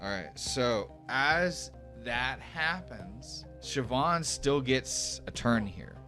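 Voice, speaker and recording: A man's voice: medium pitch, animated, takes it slowly, bright, forceful tone. A man speaking as game master of a tabletop role-playing game, explaining rules and narrating off the cuff in a conversational way. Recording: music playing behind the voice.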